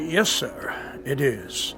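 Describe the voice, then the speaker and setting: Slow, high-voiced obliging club attendant. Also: male voice, big pitch swings, creaky, over music, heavily acted. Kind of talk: radio drama acting.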